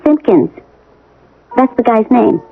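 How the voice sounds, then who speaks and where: Wry American sharp-tongued wife, lively pitch. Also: female voice, gravelly, narrow, tinny audio, heavily acted. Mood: exasperated. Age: middle-aged. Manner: wry, brisk, slangy. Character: sharp-tongued, practical professor's wife, wry former showbiz hopeful. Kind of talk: 1970s American radio drama acting. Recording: band-limited old radio broadcast with music.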